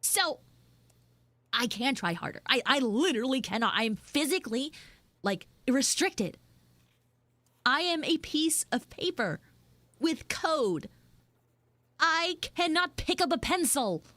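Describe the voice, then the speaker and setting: A woman's voice: high voice, very animated, measured pace, long pauses, pushed and bright, no room sound. A woman chatting in character on a livestream as a flustered tomboy tsundere schoolgirl, with dramatic, whiny exasperation. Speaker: VTuber livestreamer doing audio roleplay. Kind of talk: improvised in-character livestream chat.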